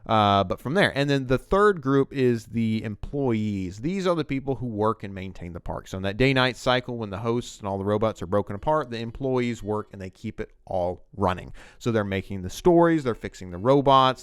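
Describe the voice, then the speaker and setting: Low-voiced man giving casual commentary. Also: clear, resonant tone, dry recording. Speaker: video essayist host. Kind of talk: casual, unscripted explanatory commentary on TV writing.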